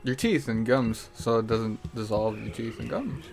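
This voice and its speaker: A young man from Mexico whose first language is Spanish, co-hosting a podcast in quick, playful, joking chat. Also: mid-range voice, animated, darkish tone, faint music behind. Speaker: podcast co-host. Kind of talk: casual chat.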